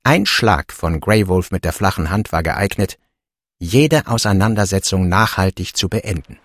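A man with a fairly low voice narrates a novel as an audiobook. The delivery is scripted storytelling, recorded very dry and close. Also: animated, a little slow, a bit bright, no echo.

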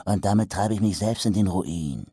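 Man putting on a wheedling street hawker, pushy male seller, hushed whispery patter, very dry audiobook sound. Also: low-pitched, normal speed, breathy edge, no echo. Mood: conspiratorial sales pitch.

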